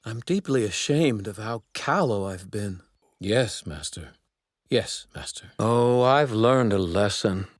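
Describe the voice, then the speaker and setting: An actor in an English anime dub playing a haughty young divine apprentice with cold contempt for mortals, speaking in formal, pompous, precise phrases. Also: male voice, lowish voice, lively intonation, takes it slowly, dry recording, studio quality. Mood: deferential.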